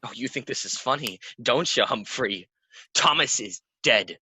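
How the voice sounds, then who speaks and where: Man playing a hot-tempered, animated stage player. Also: medium pitch, a little slow, slight background noise. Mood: agitated, defiant. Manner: agitated, bitter, argumentative. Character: restless, defiant, hot-tempered young male theatre player. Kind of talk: theatrical audio play acting.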